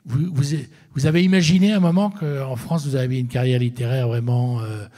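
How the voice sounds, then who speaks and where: A man's voice: mid-range voice, steady tone, normal speed, mellow. A man interviewing an author onstage, often finishing his guest's sentences. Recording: long reverb of a hall.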